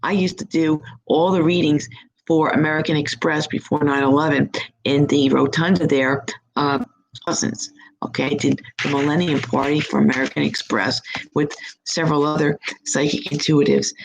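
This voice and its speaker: A hoarse, husky middle-aged woman hosting a live stream as a psychic reader, chatting in a rambling, upbeat way from her home. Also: low-pitched, fairly even intonation, normal speed, poor audio. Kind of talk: casual live-chat monologue.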